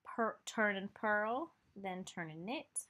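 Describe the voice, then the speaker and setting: Slow nasal woman teaching knitting. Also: medium pitch. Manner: slow, relaxed, filler-heavy, counting stitches aloud. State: sick and nasal. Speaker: knitting instructor. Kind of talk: casual step-by-step tutorial voice-over.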